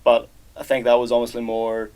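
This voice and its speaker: A young man with a Northern Irish accent, an exchange student answering interview questions in a relaxed, hesitant way with many fillers and restarts. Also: medium pitch, brisk, a loud crowd. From Northern Ireland. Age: young adult. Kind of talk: casual interview chat.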